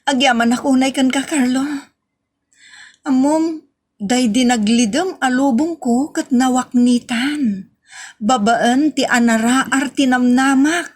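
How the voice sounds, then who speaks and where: A woman's voice: sits high, slow pace. A woman acting a proud but anxious young woman in love in a radio drama. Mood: grateful, relieved.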